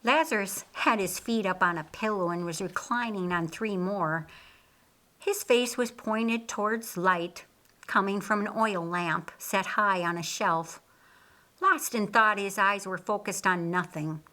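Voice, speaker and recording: A woman reads a story for an audiobook. Her delivery is slow, deliberate and expressive. The tone is bright, and the pitch sits in the middle for a woman. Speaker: audiobook narrator. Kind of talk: scripted storybook narration.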